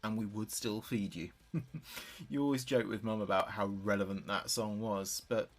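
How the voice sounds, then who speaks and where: A man's voice: lowish voice, steady tone, normal speed. An actor playing a grieving adult son in an audio drama, speaking hesitantly and confidingly as if taping messages for his dead father. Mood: fond, bittersweet. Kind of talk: audio drama monologue as a taped diary.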